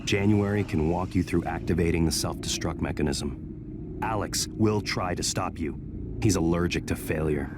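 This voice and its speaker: An actor playing a grave, resolute man in a video game, giving a warning in a blunt, measured, serious way. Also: deep voice, background noise, close to an everyday voice. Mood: grave, regretful.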